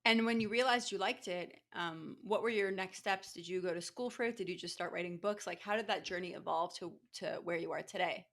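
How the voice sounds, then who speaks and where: A woman's voice: fairly low pitch, brisk, hardly any pauses, a bit bright. Chatty woman hosting a podcast interview. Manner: chatty, rambling questions with restarts and fillers. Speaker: podcast host. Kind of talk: conversational interview.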